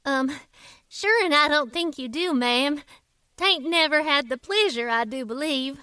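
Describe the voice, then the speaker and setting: A woman's voice: high-pitched, measured pace, sharp tone, no echo, studio quality. A woman playing a plucky, folksy amateur sleuth with wry, old-time cowgirl phrasing in a comic audio drama. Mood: sheepish, folksy. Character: plucky, folksy female amateur sleuth narrating her adventures. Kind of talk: comic audio drama, first-person narration.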